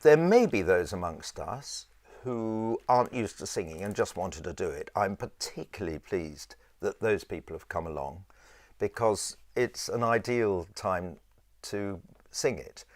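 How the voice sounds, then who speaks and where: A man with a low voice teaching a choir in an informal, rambling and halting conversational way. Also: expressive, dry recording. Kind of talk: informal instructional talk with sung demonstrations.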